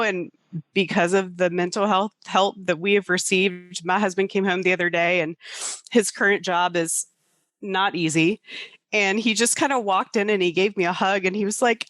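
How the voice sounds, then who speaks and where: A woman's voice: medium pitch, normal speed, a bit bright, dry recording. A woman co-hosting a podcast, talking casually and candidly about personal life with self-deprecating openness. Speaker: podcast co-host.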